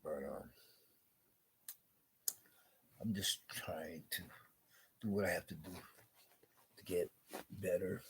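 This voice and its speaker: A man vlogging slowly and rambling, congested with a scratchy throat, repeating "you know". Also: deep voice. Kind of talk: rambling personal vlog.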